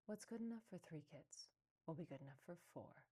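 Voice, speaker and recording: A low, mellow mother fox from a storybook, read by a woman. She is soft-spoken but resolute, fiercely protective and full of love for her young. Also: lively intonation, normal speed, husky. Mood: firm, defiant.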